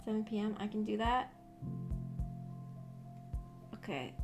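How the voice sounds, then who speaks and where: A woman's voice: mid-range voice, animated, a little slow. Woman chatting casually over prominent background music. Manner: rambling, hesitant, thinking aloud. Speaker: VTuber streamer chatting with viewers. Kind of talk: casual livestream chat.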